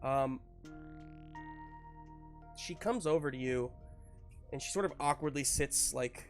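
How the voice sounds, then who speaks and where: A man improvising narration as a tabletop game master, casually and haltingly searching for words. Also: mid-range voice. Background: faint music.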